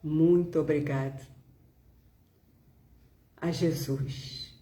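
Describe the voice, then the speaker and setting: A Brazilian woman with a Brazilian Portuguese accent speaks as a spiritist devotional speaker, reading solemnly and deliberately with frequent pauses. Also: low-pitched, steady tone, dark tone, reverberant.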